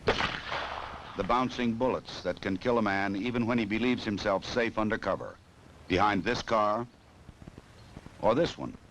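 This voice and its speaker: Male narrator, American, low voice, formal and authoritative, 1960s training film style with noisy vintage sound. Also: animated, measured pace, pauses often, fairly bright tone.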